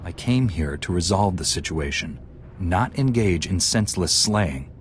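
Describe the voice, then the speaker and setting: A deep-voiced, resolute knightly hero. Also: male voice, animated, slight background noise. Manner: firm, measured, formal. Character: principled, resolute male Jedi knight hero. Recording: music behind the voice.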